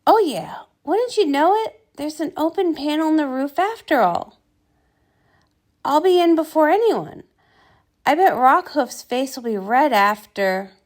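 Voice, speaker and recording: Woman as a brash, excitable soldier pony. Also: high-pitched, a little slow. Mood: cocky.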